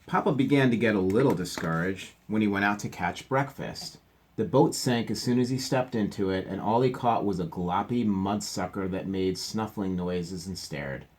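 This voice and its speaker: Male teacher reading a kids book out loud, lowish voice, chatty little asides. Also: moderate pace. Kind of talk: reading a picture book aloud to children with asides.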